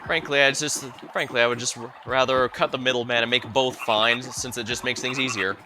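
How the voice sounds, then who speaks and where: A man's voice: medium pitch, fairly even intonation, fairly quick. Man musing over a game, noticeable background noise. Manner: musing, hesitant, trails into asides. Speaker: video game streamer. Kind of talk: live playthrough commentary, reading game dialogue aloud.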